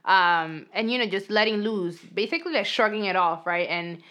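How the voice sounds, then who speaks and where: A young woman from the Dominican Republic with a New York and Dominican English accent, co-hosting a casual podcast in a lively way, laughing often. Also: mid-range voice, normal speed. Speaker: podcast co-host. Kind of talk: casual chat.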